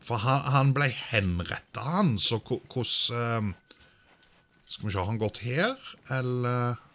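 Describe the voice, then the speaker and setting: A man's voice: mid-range voice, expressive, a bit bright. A man doing casual let's-play commentary, thinking aloud and sprinkling in English exclamations. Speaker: gaming video host.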